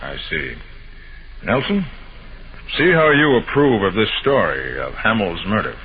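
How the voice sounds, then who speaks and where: A man playing a confident, sharp-minded master detective in an old-time radio mystery, explaining and questioning in an assured, methodical way. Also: lowish voice, big pitch swings, a little slow. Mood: probing, cool. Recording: dull, noisy old radio broadcast recording.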